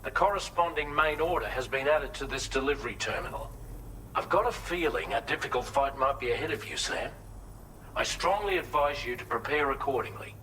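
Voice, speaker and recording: An actor playing an earnest, cautious mission adviser in a video game, speaking in a measured, formal and articulate way. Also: male voice, medium pitch, expressive, noisy background, close to an everyday voice. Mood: serious briefing. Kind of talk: video game briefing, scripted.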